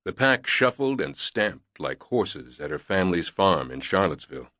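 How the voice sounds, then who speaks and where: A man narrating a thriller novel in a measured, deliberate reading on a close studio mic. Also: low voice, big pitch swings, sharp tone, no echo. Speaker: audiobook narrator.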